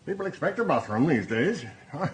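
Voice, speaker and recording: An actor playing a touchy, plain-spoken husband in a radio drama, with a blunt, colloquial way of talking. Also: male voice, a little high, big pitch swings, normal speed, slightly rough, heavily acted. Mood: boastful. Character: small, touchy, blunt husband, tender to his wife.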